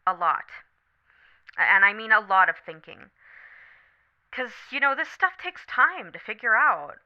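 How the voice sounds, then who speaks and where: A woman playing a supportive, candid best friend on a late-night call in an ASMR roleplay, talking casually, gently and thoughtfully. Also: medium pitch, very animated, unhurried, highs cut off. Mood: reassuring. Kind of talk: scripted ASMR roleplay, one side of a phone conversation.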